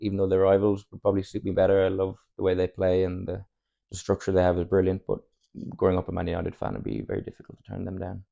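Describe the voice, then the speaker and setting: A young Irish man in his twenties, a native Irish speaker, talks at a rapid clip in a low, gravelly voice. He rambles candidly through his answers and chuckles often as a podcast guest. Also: fairly even intonation, warm tone, lo-fi sound. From Ireland, Cork. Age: 20s. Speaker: footballer guest. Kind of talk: casual podcast chat.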